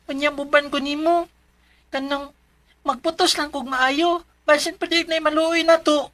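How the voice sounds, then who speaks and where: A high, bright, pitiful little boy. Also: steady tone, a little slow. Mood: sad, pleading. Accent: Cebuano Filipino. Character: sickly, suffering little brother, young child. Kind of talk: Filipino radio drama acting.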